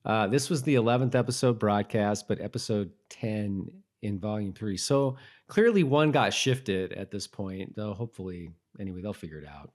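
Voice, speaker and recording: A man's voice: on the low side, normal speed, hardly any pauses, a little mellow. A man co-hosting a rewatch podcast in casual, conversational talk. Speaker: podcast co-host.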